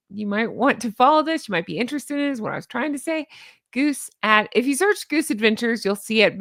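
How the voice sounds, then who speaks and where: A woman in her thirties with a Southern US accent hosts a commentary vlog, sarcastic and chatty. Her voice is slightly high and gravelly, with a lively pitch that swings up and down. She is close on a studio mic in a dry room. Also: no room sound. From American South. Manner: chatty, sarcastic, laughs often. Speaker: YouTube commentary host. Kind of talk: casual reaction vlog. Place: home studio with neon lights. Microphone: studio mic on boom arm.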